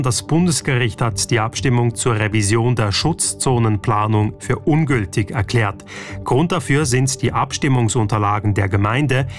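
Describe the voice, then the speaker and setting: A man reading scripted radio news as a presenter. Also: mid-range voice. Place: radio studio.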